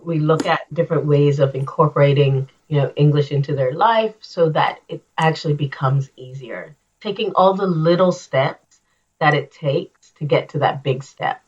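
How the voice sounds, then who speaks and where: A woman's voice: deep voice, moderate pace, breathy edge. A woman English coach talking casually and energetically as an interview guest. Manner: energetic, action-focused, animated when acting out scenes. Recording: slightly dull, lo-fi sound.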